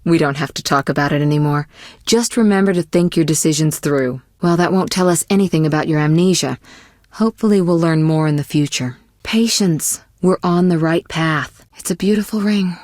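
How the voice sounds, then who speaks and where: A woman playing a poised, worldly young sorceress in a video game, caring but teasing and possessive, with measured, articulate delivery. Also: low voice, a little mellow, no room sound, clean studio sound, lightly acted. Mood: composed, gently chiding. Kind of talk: scripted video game voice acting.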